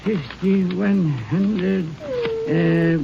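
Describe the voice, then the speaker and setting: A high, slow-spoken rancher in a vintage radio drama. He speaks in a thin, pleading voice. The broadcast sounds dull and lo-fi. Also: expressive, vocal fry, heavy background noise, full character voice. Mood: irritated. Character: older male rancher counting money. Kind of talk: 1950s radio drama acting.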